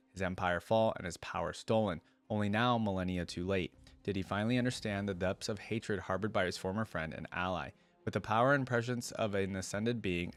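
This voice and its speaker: Low-voiced man doing a lore reaction. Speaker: YouTube reaction host. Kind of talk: reading lore aloud with casual reactions.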